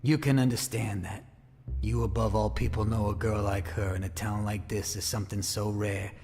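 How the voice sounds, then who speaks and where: A man's voice: fairly low pitch, level tone, moderate pace, mellow, slight background noise. A male actor playing a jealous, lovesick murder suspect in a noir audio drama, wounded and defiant, talking in streetwise slang. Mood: wistful. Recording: long reverb, clear music underneath.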